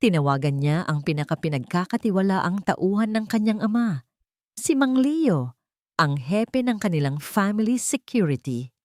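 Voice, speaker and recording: A woman narrating a scripted dramatic story in measured phrases with pauses, as an audiobook reader. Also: mid-range voice, sing-song, a little mellow, no echo, clean studio sound.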